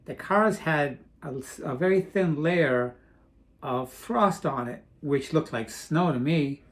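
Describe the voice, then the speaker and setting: A man's voice: on the high side, slow. A man from Portugal chatting casually in a vlog, rambling with frequent restarts. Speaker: vlogger. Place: home in Florida.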